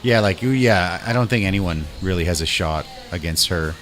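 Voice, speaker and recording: A deep, low-pitched man hosts a podcast in a loose, excitable chat. He talks like a buddy, full of slang and casual swearing. There is some background noise behind him. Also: moderate pace. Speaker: podcast host.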